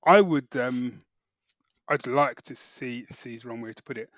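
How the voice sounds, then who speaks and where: A man's voice: mid-range voice, moderate pace, a bit bright, no echo, rough recording. A British man co-hosting a podcast, explaining things in casual, informal chat with some hesitant phrasing. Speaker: podcast co-host reporting news.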